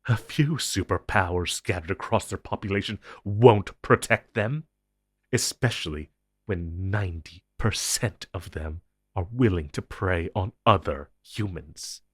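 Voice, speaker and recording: A man playing an ancient, powerful supernatural being in an audio roleplay, speaking gravely and commandingly with dramatic pauses. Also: fairly bright tone, no room sound, clean studio sound, exaggerated voice. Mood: angry, urgent. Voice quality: low, husky. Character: ancient, powerful, possessive male supernatural being, grim secret-agency superior. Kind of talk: intense dramatic audio roleplay monologue addressed to listener.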